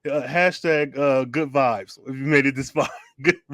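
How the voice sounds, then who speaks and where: A man's voice: mid-range voice, measured pace, a bit bright, dry recording. Laid-back man hosting a podcast; dry, close-miked sound, easy swearing, frequent laughs. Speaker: podcast host. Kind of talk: casual podcast chat.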